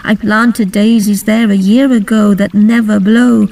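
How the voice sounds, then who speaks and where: A woman's voice: medium pitch, level tone, measured pace, vocal fry, darkish tone, recorded from a distance, rough recording. A woman playing earnest young maidens in a dramatic, theatrical reading of a Victorian fairy-tale poem. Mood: worried, chiding. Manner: theatrical, emphatic recitation.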